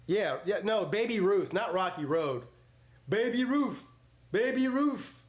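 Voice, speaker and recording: Man, forties, fairly high-pitched voice, sports stream host, casual commentary, blunt, joking, rambling. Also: lively intonation, a little slow. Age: 40s. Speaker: host of a live sports watch party. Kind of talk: casual livestream chat with play-by-play commentary.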